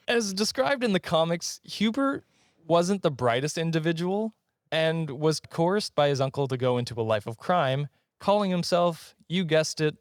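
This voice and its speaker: A man's voice: fairly high pitch, animated, a little slow, dry recording, studio quality. A man co-hosting a podcast, telling a comic story casually, tripping over his words, giggling and swearing.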